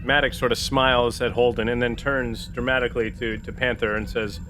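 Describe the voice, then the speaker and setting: A man's voice: mid-range voice, flat delivery, brisk, noisy background. A man playing a tabletop role-playing game, switching between casual banter and dramatic in-character lines with a joking manner.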